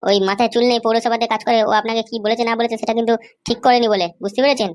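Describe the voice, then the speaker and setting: A woman's voice: on the high side, flat delivery, racing, lightly acted. A woman voicing a meddling, insolent young male sidekick, mocking and accusing. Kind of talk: amateur comedy cartoon dub.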